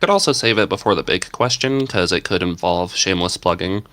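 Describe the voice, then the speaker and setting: A man's voice: deep voice, brisk, fairly bright tone. A man chatting casually as a podcast panelist, easygoing and prone to chuckling. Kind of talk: casual chat.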